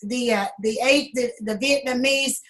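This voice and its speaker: A woman speaking as a guest on a talk panel, her voice high and her delivery slow. She pauses and fills gaps with ums as she works toward her point. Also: fairly bright tone. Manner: slow, hesitant, many ums. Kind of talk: public affairs panel talk.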